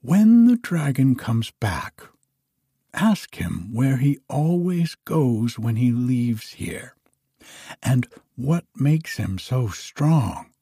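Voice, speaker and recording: Young prince, earnest and brave, a touch higher, husky and slow, as read by a man. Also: big pitch swings, dark tone, no room sound, clean studio sound, heavily acted.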